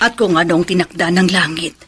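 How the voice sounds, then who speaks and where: An actress with a Filipino accent playing a pious older mother, measured and gently advising, in radio drama style. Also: fairly low pitch, steady tone, breathy edge. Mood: solemn, reassuring.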